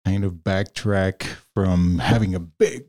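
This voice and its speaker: A man's voice: deep voice, slow pace, darkish tone. A man from Venezuela, a guest on an interview show, chatting casually and rambling with lots of fillers and restarts. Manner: rambling, many fillers and restarts, laughs often. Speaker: podcast guest, freelance video producer.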